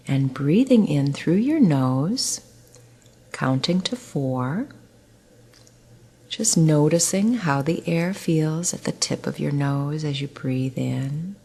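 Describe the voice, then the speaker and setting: Soft breathy middle-aged woman, nurse doing guided relaxation, low voice, half whispering. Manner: soft, slow, soothing, often near a whisper.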